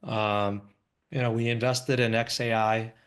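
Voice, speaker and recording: A man's voice: fairly low pitch, little pitch movement, measured pace, clean tone. An American man, an investor, giving an informal live presentation in a conversational way, often checking in with listeners. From Los Angeles, United States. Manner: conversational, frequently checks in with "right?".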